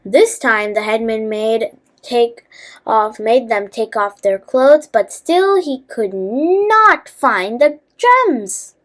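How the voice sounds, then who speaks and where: A woman reading a scripted children's story, with a halting delivery that stumbles over words. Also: on the high side, expressive.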